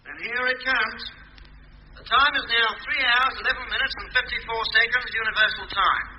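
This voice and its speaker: An actor playing a calm, formal ground control operator, precise and official, heard over a radio link in a 1950s radio drama. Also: male voice, extremely high pitch, brisk, dull audio, exaggerated voice. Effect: distant radio transmission. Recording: thin, noisy, reverberant old radio recording.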